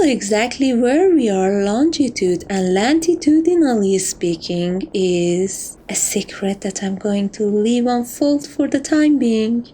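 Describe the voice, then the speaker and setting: Slow, high-voiced teasing catgirl thief acted by a woman. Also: dark tone, slight background noise. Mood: gleeful, affectionate. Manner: teasing, coy, theatrical, affectionate. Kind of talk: romantic ASMR roleplay.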